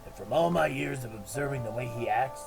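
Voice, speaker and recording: A man voicing a big, brotherly mutant turtle fighter in a cartoon fan drama, steady and reassuring with a gravelly voice. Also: medium pitch, fairly quick, lightly acted. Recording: music behind the voice.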